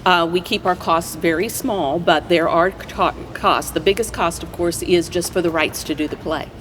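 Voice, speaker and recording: A woman guest in a conversational interview, explaining things agreeably in halting phrases. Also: on the low side. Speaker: community theater player, interview guest. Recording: faint noise and background ambience.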